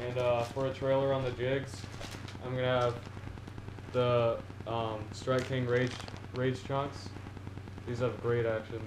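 Teenage boy rambling about fishing gear. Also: medium pitch. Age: teens. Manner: rambling, many ums and restarts, enthusiastic about gear. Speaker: fishing vlogger. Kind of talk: casual gear haul video. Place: small indoor room, seated on a couch. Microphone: camera on the floor in front of him. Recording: echoey room with a steady noise floor.

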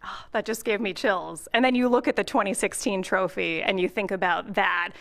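Woman tv reporter live in a hotel room, handheld mic, chatty and upbeat, medium pitch. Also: moderate pace, gravelly, a bit bright. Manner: upbeat, chatty, laughs easily. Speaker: field reporter. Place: hotel convention room, indoors. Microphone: handheld news mic.

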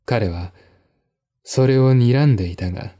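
A man's voice: mid-range voice, measured pace, warm tone. A man narrating a mystery story in the first person, as a dramatic literary reading. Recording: music behind the voice.

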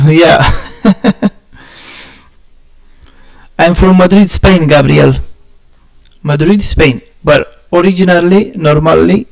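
A man from Romania with a Romanian accent chatting casually with viewers on a game stream, halting and searching for words, often laughing at himself. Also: fairly high pitch, vocal fry, darkish tone, low-quality recording. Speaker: gaming streamer.

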